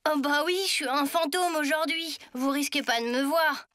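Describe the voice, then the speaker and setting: A woman's voice: high-pitched, normal speed, a bit bright, dry recording. A woman voicing a reluctant, exasperated schoolboy turned ghost in a French cartoon. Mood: puzzled, wry. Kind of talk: French animated series dubbing.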